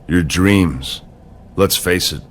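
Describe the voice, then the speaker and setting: A man playing a menacing, arrogant wrestling rival in a video game, slow, deliberate and taunting. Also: fairly low pitch, lively intonation, fairly bright tone, a little hiss or hum. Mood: cold menace. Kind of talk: video game cutscene acting.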